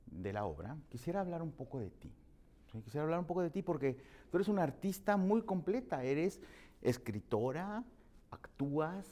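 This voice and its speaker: A man's voice: fairly high pitch, mellow. A man hosting an interview in a restaurant, asking questions expressively with lots of repeated words. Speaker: talk show host. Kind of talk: hosted interview.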